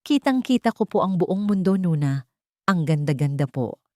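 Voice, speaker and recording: A woman with a Filipino accent voicing a timid, innocent, excited young child in a narrated story. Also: fairly low pitch, normal speed, dry recording, studio quality, natural voice. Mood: excited wonder. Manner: polite, innocent.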